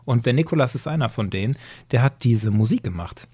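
A man's voice: medium pitch, lively intonation, brisk, warm tone, breathy edge. A man hosting a podcast in an enthusiastic, appreciative, casual chatting manner. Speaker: podcast host. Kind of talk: casual chat.

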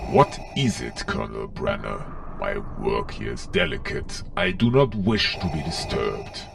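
A man voicing a cold, menacing masked dark lord with grave, clipped, formal delivery in an audio story. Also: very deep voice, sing-song, unhurried, vocal fry, background noise, voice pushed down, heavily acted. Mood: cold, annoyed.

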